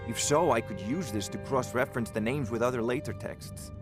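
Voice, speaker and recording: Male actor as haughty fantasy game scholar-wizard, pompous, condescending, medium pitch over music. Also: a little fast, lightly acted. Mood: eager, absorbed. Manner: pompous, pedantic. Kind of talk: video game voice acting.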